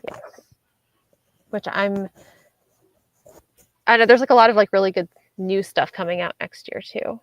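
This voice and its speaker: A woman co-hosting a book club discussion over a video call, agreeable and casual, planning the next reads out loud. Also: mid-range voice, measured pace, pauses often, dry recording, low-quality recording. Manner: agreeable, short replies, plans aloud.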